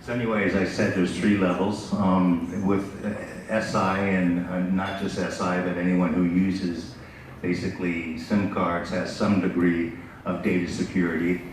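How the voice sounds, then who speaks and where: A middle-aged American man giving a conference presentation in a relaxed, conversational way, with frequent fillers. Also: low-pitched, a little slow. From United States. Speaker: business development speaker. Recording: echoey hall, distant mic, background noise.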